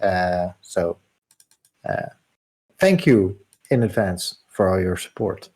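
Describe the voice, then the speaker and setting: A man's voice: low voice, lively intonation, slow pace, darkish tone, slightly rough. A man co-hosting a gaming livestream, talking casually and rambling with lots of fillers. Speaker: co-host.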